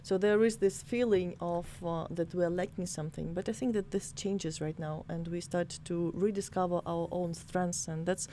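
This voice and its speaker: A woman from Ukraine talks in a low, mellow voice, pausing often with fillers and restarts. Faint noise sits behind her in the studio. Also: fairly even intonation. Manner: hesitant, many fillers and restarts. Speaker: tech promotion representative. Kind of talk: studio discussion.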